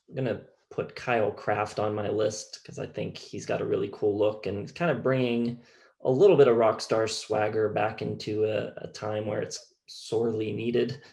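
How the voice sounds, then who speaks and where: A man co-hosting a music show, chatting casually in a measured, halting way. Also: lowish voice, vocal fry, a little mellow. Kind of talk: casual chat.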